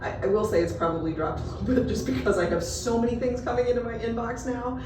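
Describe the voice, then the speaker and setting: A woman hosting an interview, encouraging and quick to laugh as she draws her guest out. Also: a little high, fairly even intonation. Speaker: podcast host. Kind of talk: conversational interview. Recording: roomy echo, distant mic, noisy.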